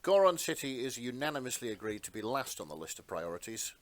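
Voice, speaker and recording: A man's voice: mid-range voice, sing-song, fast talker, no echo. Man narrating an adventure story dramatically.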